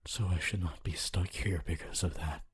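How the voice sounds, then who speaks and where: A man voicing a lonely, honorable ghost of a teacher in an ASMR roleplay, speaking in a hushed, breathy, halting and wistful way. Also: deep voice, fairly even intonation. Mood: somber, regretful. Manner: slow, halting, wistful, intimate. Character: honorable lonely male ghost, former teacher, tender and regretful.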